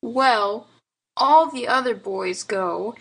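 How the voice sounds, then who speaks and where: Woman voicing a husky, frank little storybook boy. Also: on the high side, a little slow, fairly bright tone, low-quality recording. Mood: earnest, defiant. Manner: childish grammar and slang, plaintive reasoning. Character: mischievous, frank little boy, earnest and pondering. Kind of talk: storybook character dialogue.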